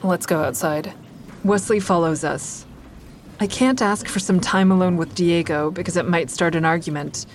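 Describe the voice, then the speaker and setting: A woman's voice: fairly low pitch, normal speed, a little hiss or hum, close to an everyday voice. Actress as a wry female comedian narrating. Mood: wry, reflective. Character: wry female stand-up comedian telling her own story. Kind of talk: first-person audio drama narration.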